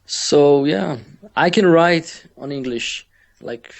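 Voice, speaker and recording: A man's voice: a little high, slow, creaky. A man with a Georgian accent, a native Georgian speaker, chatting as a podcast guest in English. He searches for his words and restarts his sentences often. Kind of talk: casual interview chat.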